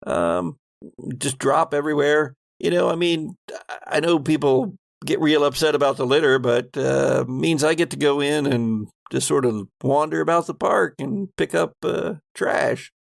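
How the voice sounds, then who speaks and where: A man's voice: on the high side, measured pace, vocal fry, no echo, clean studio sound. A man playing an easygoing, gossipy maintenance worker in a tabletop game, rambling in folksy, ungrammatical working-man speech. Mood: chatty, musing.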